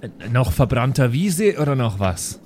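A man playing a fussy, sceptical maths teacher who speaks haltingly and deliberately in an improvised tabletop audio play. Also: medium pitch, sing-song, a little mellow, a little hiss or hum, natural voice.

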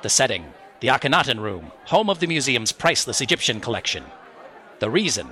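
An actor playing the melodramatic announcer of a pulp mystery serial, portentous and theatrical in old-time radio style. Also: male voice, medium pitch, very animated, a little fast, bright, forceful tone, some chatter behind.

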